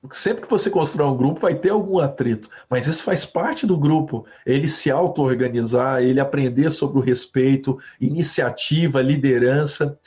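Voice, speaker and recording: A man from Brazil, a university professor, answering interview questions with animated stories, often ending phrases with a questioning tag. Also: mid-range voice. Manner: animated storytelling with frequent "né?" tags. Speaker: guest professor. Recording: slightly dull lo-fi audio.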